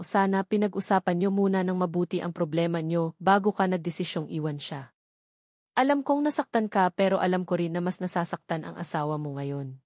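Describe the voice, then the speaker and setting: A woman's voice: fairly low pitch, a little mellow, dry recording, lightly acted. Woman reader as caring mother, earnest and admonishing, audiobook drama, dry sound. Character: caring, gently admonishing older mother of a grown daughter. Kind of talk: dramatised novel reading.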